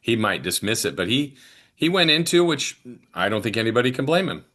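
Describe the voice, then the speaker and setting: A man's voice: medium pitch, big pitch swings, brisk, sharp tone. A man hosting a sports podcast, interviewing in a conversational style.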